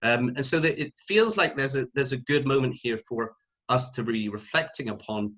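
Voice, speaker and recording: A middle-aged man from the UK with a British accent, speaking unscripted as an academic panelist in a reflective, halting way, thinking aloud. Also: medium pitch, a bit bright, lo-fi sound. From United Kingdom.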